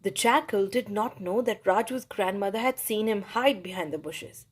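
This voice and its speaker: A woman reading a children's story aloud for her channel, in a cheerful, expressive way. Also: medium pitch, moderate pace, breathy edge. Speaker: storybook reader, channel host.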